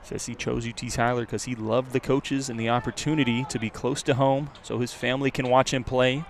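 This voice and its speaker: A man does live radio baseball commentary at a medium pitch, with outdoor ballpark noise behind him. He talks conversationally between pitches, filling the time with background on the players. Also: level tone, a little fast. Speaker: play-by-play announcer. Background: outdoor ballpark ambience.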